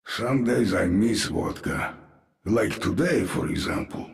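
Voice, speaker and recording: An actor playing a grim, sardonic undead military commander in a video game, with a Russian accent and deliberate, dramatic delivery. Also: male voice, lowish voice, lively intonation, creaky, exaggerated voice. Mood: dryly sardonic.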